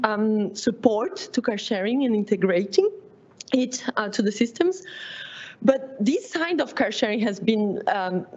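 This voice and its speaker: A woman with a Brazilian Portuguese accent presenting her PhD research at a seminar, often pausing on "um", correcting herself and laughing at herself. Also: mid-range voice, darkish tone, highs cut off. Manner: frequent "um" fillers, self-correcting, laughs at herself.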